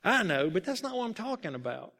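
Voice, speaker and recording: A curious, blunt boy ballplayer from a storybook, played by a man. He talks casually and questioningly in a high-pitched voice. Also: very animated, a little fast, pushed and bright, full character voice. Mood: puzzled, curious. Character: blunt, curious boy shortstop, teammate and friend.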